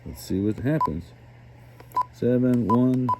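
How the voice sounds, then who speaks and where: A man giving a casual hands-on radio demonstration as host, thinking aloud in a halting way. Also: mid-range voice, expressive, noisy background. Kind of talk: casual hands-on demonstration, talking while working.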